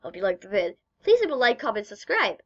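A woman's voice: mid-range voice, sing-song, fast, fairly bright tone, no echo, dull audio. A young woman casually narrating her amateur comic dub with playful, self-deprecating asides.

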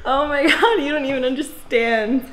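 High-pitched, giggly young woman. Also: normal speed. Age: young adult. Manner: giggly, effusive, exclamatory. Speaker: chiropractic patient. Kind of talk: casual reactions during treatment. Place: indoor chiropractic treatment room. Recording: echoey room with background noise.

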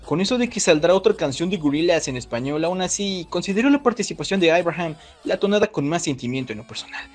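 A man with a high voice hosts a scripted trivia video. His delivery is expressive and swings widely in pitch, with music playing along underneath. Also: fast, hardly any pauses. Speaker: video host.

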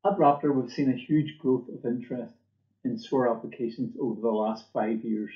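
A man's voice: medium pitch, moderate pace. A middle-aged man giving a scripted product presentation as a company presenter, recorded indoors at a desk. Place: indoor room, seen on webcam. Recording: echoey room, dull muffled audio.

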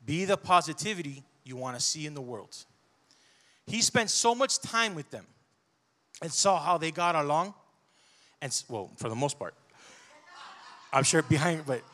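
Animated man preaching with a handheld mic. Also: a little high. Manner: conversational, animated preaching with asides. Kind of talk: sermon. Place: church stage.

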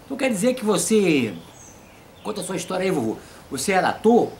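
A man's voice: high-pitched, sing-song, quick pace, vocal fry, a little mellow, slight background noise. A man in his fifties with a Brazilian accent, hosting a casual comic interview. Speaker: host and puppeteer.

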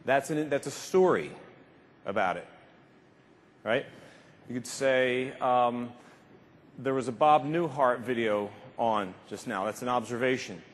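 A man's voice: medium pitch, lively intonation, a little slow, long pauses. A man in his fifties giving an emphatic, informal motivational keynote speech, repeating phrases for effect. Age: 50s. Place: large conference stage indoors. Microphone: lapel mic. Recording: reverberant large hall, slightly muffled speech.

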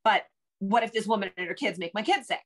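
A woman's voice: medium pitch, fast talker. A middle-aged woman speaking conversationally as an interview guest, a novelist talking from a room at home over a video call.

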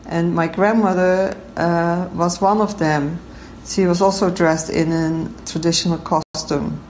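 A woman in her sixties from the Netherlands, calmly giving a prepared statement about her artwork. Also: lowish voice, a little slow, a little hiss or hum, low-quality recording. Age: 60s. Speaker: exhibiting artist.

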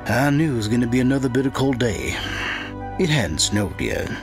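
An actor playing a grim, secretive 1920s small-town sheriff, telling his dark tale as a gravelly first-person narrator. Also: mid-range voice, very animated, moderate pace, over music. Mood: grim, brooding. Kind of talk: first-person dramatic storytelling.

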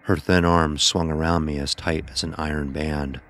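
Very deep, solemn storyteller. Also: male voice, level tone, moderate pace, a bit bright. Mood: somber. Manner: solemn, literary. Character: blind young son narrating his dark ordeal, fearful and somber. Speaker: narrator. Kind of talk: scripted first-person horror narration. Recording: voice over background music.

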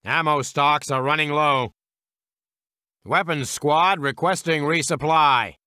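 An actor playing a gung-ho American World War Two heavy weapons soldier in a strategy game, with a clipped military delivery. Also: male voice, on the high side, slow, bright, forceful tone, clear, resonant tone, no echo, studio quality. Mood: confident, brisk.

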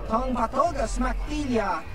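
A man's voice: extremely high pitch, expressive, unhurried. A man playing a menacing insect-like alien thug in a video game, babbling in a clipped, invented alien tongue. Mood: threatening. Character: menacing bug-eyed alien thug speaking alien gibberish. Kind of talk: video game creature dialogue. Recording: music playing behind.

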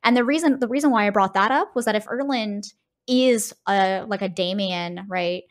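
A woman's voice: mid-range voice, moderate pace. A woman co-hosting a podcast, thinking aloud informally as she analyses in casual discussion. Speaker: podcast host.

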